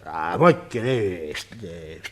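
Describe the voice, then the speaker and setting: A man's voice: sits low, sing-song, slow pace, exaggerated voice. An actor playing a nervous, sheepish man in a detective radio drama, stammering and repeating his words as he hesitates. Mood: nervous, indignant.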